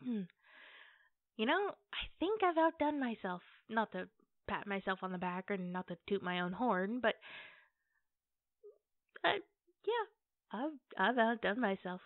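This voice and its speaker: A woman playing an affectionate, playful girlfriend in a casual, conversational ASMR roleplay, with hesitant pauses. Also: mid-range voice, big pitch swings, dry recording. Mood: amused, playful. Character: affectionate, playful girlfriend baking cookies at home. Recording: tinny, phone-like band-limited audio.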